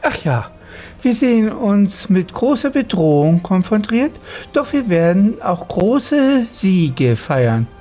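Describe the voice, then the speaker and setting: A player speaking role-play dialogue over a game in a deliberate way. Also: mid-range voice, sing-song, warm tone, faint music behind.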